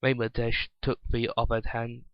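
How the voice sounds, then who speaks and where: A man giving an amateur dramatic story reading, halting and stumbling over words as he narrates. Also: medium pitch, monotone, no room sound, muffled recording. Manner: halting, stumbles and openly remarks on slips. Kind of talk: dramatic reading of fan fiction.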